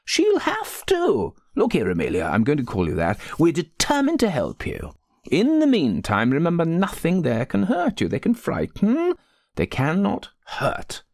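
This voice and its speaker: A man's voice: lowish voice, sing-song, unhurried, vocal fry, no echo, clean studio sound, full character voice. A man voicing a bossy, indignant rector's wife in an audiobook, emphatic and insistent. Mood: firm, kindly. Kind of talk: audiobook character voice.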